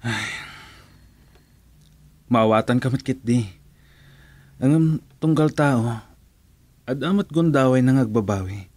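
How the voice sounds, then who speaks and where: An actor with a Filipino accent playing an earnest, reasoning friend in a radio drama. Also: male voice, mid-range voice, takes it slowly, pauses often, darkish tone, no echo. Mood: consoling, earnest. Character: earnest, reasoning male friend urging forgiveness.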